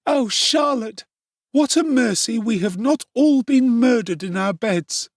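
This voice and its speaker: A man's voice: extremely high pitch, expressive, measured pace, dry recording, clean studio sound, voice pushed up, exaggerated voice. A man voicing a breathless, piteous mistress of the house recovering from a faint. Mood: breathless lament. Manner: gasping, piteous.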